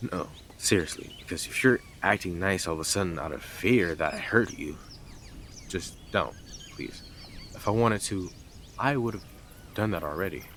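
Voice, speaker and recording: A man's voice: sits low, fairly bright tone, slight background noise. A man playing a blunt, sarcastic, teasing stalker who is devoted to the listener in an intimate roleplay audio drama. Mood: sincere, devoted.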